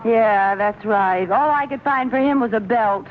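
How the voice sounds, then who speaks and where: Actress playing a high-pitched, gushing radio housewife. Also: female voice, measured pace, lightly acted. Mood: eager. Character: excitable, gushing housewife with an anniversary gift. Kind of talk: 1950s radio comedy acting. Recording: tinny, noisy old-time radio recording.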